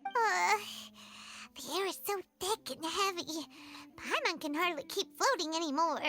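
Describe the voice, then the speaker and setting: A woman voicing a small, excitable, childlike fairy companion in an anime game, squeaky and cutesy. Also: a little slow, soft background music, heavily acted. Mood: awkward, uneasy. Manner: cutesy, refers to self in third person.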